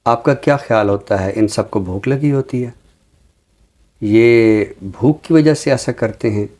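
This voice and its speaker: A Pakistani man speaks at a very slow pace in a medium-pitched voice. He is measured and didactic, pausing deliberately as he explains his social commentary through examples. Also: mellow. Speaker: teacher and programme guest. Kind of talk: reflective social commentary in an interview.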